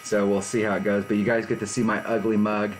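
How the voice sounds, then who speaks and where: Man, 50s, casual rambling streamer, lowish voice, garage, faint hum behind. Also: level tone, fast. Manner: rambling, laid-back, self-deprecating. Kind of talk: casual live-stream chat. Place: home garage.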